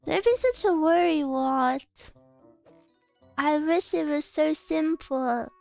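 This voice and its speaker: A young woman streamer chatting casually with her viewers, self-conscious and giggly, with a scratchy throat she keeps clearing. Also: high-pitched, slow, darkish tone, no echo, soft background music. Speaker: VTuber streamer. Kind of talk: casual stream chat, reading chat aloud.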